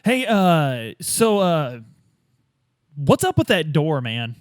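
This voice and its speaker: Animated higher-pitched man, playing along. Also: takes it slowly, no room sound, clean studio sound. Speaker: player in a tabletop roleplay. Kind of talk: casual in-character improvised chat as a detective.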